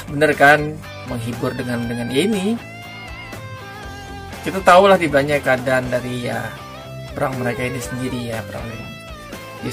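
A middle-aged man comments casually, chatty and quick to laugh. He talks through an earphone mic in a small studio. Clear music plays behind him. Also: mid-range voice. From Indonesia. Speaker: reaction video host. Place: green-screen studio room.

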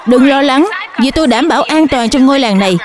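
A woman dubbing an excitable, indignant character in a children's superhero cartoon. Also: high voice, fast, noisy background, lightly acted. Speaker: voice dubber.

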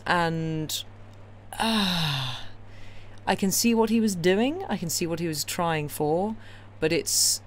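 A woman chatting casually and ramblingly to a live audience as a stream host, wry, opinionated and enthusiastic. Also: low voice. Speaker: livestream host who reads classic novels aloud. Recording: clear steady background noise.

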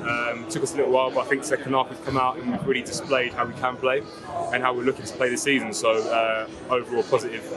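A young footballer, the team's vice captain, giving relaxed post-match answers outdoors at a football ground on a lapel mic. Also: male voice, medium pitch, fast talker, over loud music. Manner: relaxed, fluent, filler-heavy answers.

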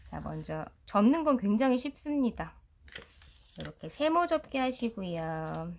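A woman's voice: medium pitch, very animated, unhurried, vocal fry. A middle-aged woman teacher giving a step-by-step craft tutorial in a polite, explanatory way. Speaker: children's storytelling teacher and video host.

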